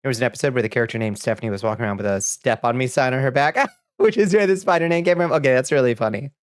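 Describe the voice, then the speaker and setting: A man in a relaxed stream chat, rambling through stories between reading viewer messages. His voice sits at a middling pitch with easy, frequent laughs and a slightly sniffly edge. The sound is dry and close, with no room around it. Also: no room sound. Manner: rambling, chatty, quick laughs, reads chat aloud. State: a little sniffly. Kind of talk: casual chat while gaming.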